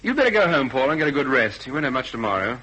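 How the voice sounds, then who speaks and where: An actor playing a shrewd private detective in a radio drama, measured, probing and authoritative. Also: male voice, medium pitch, very animated, bright, forceful tone, poor audio. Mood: brisk, assured.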